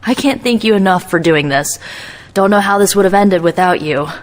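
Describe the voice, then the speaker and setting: Game teammate, voiced by a woman: young, friendly, casual, mid-pitched. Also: moderate pace, lightly acted. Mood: grateful, tired. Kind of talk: video game cutscene acting.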